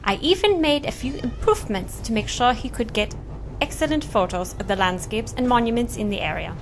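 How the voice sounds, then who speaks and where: Capable female technician woman acting, audio drama. Composed, higher-pitched, expressive, faint noise behind. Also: a little fast, lightly acted.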